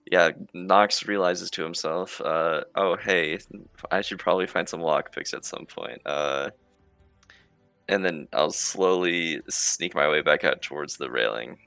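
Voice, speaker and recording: A man with a very low voice talks casually as a player in a tabletop roleplaying game. He is hesitant and thinks aloud, with plenty of gravelly fry. The sound is close and dry, with faint music behind him. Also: a little slow, sharp tone, no echo.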